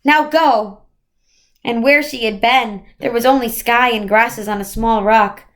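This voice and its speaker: High-voiced woman reading a storybook. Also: fairly even intonation, normal speed, a bit bright. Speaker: storyteller reading aloud to children. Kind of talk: expressive read-aloud narration of a children's novel.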